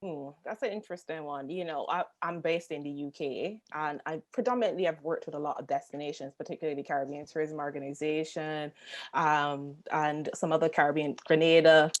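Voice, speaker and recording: A woman's voice: low-pitched, steady tone, a little fast. A woman from Barbados with a Barbadian accent, speaking as a panelist on a video call in an earnest, conversational way with many fillers. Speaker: panelist, travel marketing agency founder. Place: home, UK.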